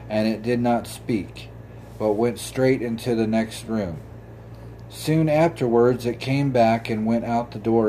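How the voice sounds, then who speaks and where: A man hosting an informal storytelling show and reading aloud, in a halting way that stumbles over words. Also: medium pitch, dark tone, a little hiss or hum, poor audio. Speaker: host and story reader.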